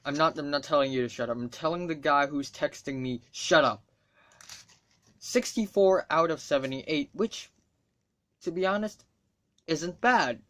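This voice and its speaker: A man's voice: a little high, unhurried, pauses often, fairly bright tone. A teenage boy from Hong Kong whose first language is Cantonese talks casually to camera, rambling, swearing freely and making wry asides. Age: teens. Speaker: student vlogger.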